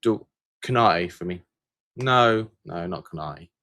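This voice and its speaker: Low-voiced Englishman rambling on a livestream. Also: animated, slow pace, bright, forceful tone, dry recording. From England. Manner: rambling and hesitant, with frequent fillers and restarts. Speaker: channel host answering viewer questions. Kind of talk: casual livestream chat, reading out viewer comments.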